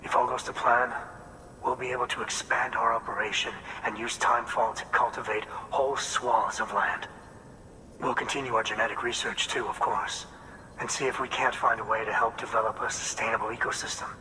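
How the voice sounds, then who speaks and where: An actor playing an earnest, hopeful farmer and researcher in a video game cutscene. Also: male voice, mid-range voice, fairly even intonation, brisk, sharp tone, long reverb tail, slight background noise, rough recording. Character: hopeful middle-aged male farmer-scientist.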